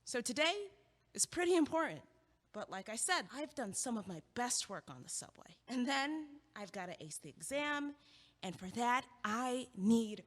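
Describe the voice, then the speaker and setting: A woman's voice: a little high, sing-song, normal speed, a bit bright. A woman playing a blunt, driven young college student in an audio play, with a sardonic, emphatic delivery. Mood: frustrated, determined. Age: young adult. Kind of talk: stage-style audio play dialogue. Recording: echoey hall with long reverb.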